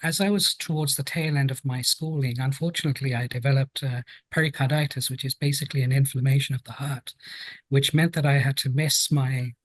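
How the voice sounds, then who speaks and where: A man in his fifties with a British accent, telling his story in a measured, reflective way as an interview guest. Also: medium pitch, fairly even intonation, no echo. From United Kingdom. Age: 50s. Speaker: guest, health and safety professional.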